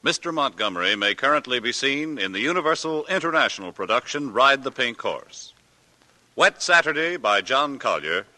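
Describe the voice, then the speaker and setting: A man's voice: medium pitch, bright, forceful tone. A man with an American accent reading formal, emphatic scripted announcements as a 1940s radio network announcer. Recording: reverberant vintage radio recording.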